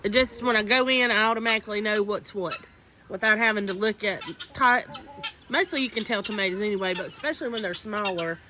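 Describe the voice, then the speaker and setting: A woman with a stuffy, congested voice, chatting casually as she shows how to repot seedlings outdoors in the wind. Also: on the high side. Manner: chatty, homey and instructive. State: congested from a cold. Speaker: homesteading vlogger. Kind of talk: casual how-to gardening vlog. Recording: faint wind noise outdoors.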